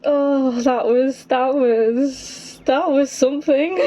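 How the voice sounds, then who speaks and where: High-voiced woman reacting to a game. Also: slow, dark tone. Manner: wry, informal, exclamatory. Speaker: game streamer. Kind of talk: casual reaction commentary while playing. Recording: game music behind.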